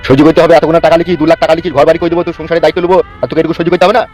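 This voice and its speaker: A man's voice: fairly high pitch, little pitch movement, rapid-fire, a little mellow, lightly acted. A man playing a lecherous, bullying village politician in a Bengali cartoon, brusque and domineering, with a rural West Bengal accent. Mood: bullying, impatient. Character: lecherous, bullying male village politician, foul-mouthed and scheming. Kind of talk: crude comic cartoon dialogue. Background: background music.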